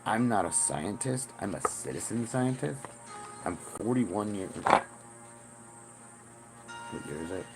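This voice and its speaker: A man's voice: on the low side, lively intonation, slow, long pauses, over loud music, low-quality recording. A man in his forties talking in a rambling, profane monologue, often repeating himself. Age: 40s. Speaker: self-styled spiritual teacher.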